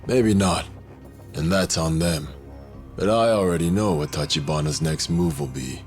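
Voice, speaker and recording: An actor playing a cold, calculating, ambitious yakuza officer in a video game dub, speaking with measured control. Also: male voice, sits low, big pitch swings, creaky, soft background music, heavily acted. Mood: cool, resolute.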